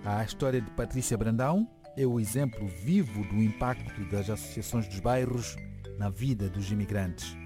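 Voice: medium pitch, normal speed, over music. An interviewer and reporter putting short questions and reading scripted narration.

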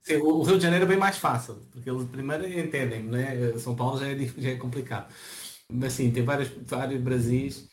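A man's voice: medium pitch, a little fast. Portuguese man, hesitant interview talk. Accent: European Portuguese. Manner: hesitant, many restarts and fillers. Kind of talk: relaxed interview conversation.

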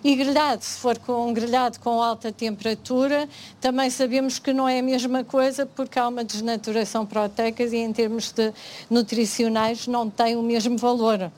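A woman with a European Portuguese accent, speaking as a panelist on food science, halting often with restarts and "eh" fillers. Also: fairly high pitch, steady tone, darkish tone. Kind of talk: panel discussion.

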